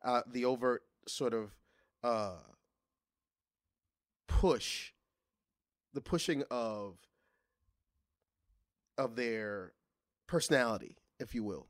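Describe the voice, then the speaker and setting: Halting, reflective man in a dry studio. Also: mid-range voice, no room sound. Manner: halting, restarts often, animated when recalling singing. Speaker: guest singer. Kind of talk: casual interview conversation.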